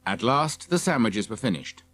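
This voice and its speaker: A man's voice: mid-range voice, sing-song, normal speed, fairly bright tone, dry recording. A man reading scripted narration for a children's audiobook.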